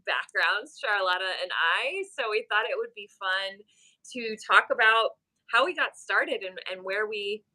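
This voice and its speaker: A woman's voice: mid-range voice, unhurried, sharp tone, low-quality recording. A woman hosting a live stream as a pattern making teacher, chatting casually, reading viewer comments and using frequent fillers. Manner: friendly, reads chat aloud, many fillers.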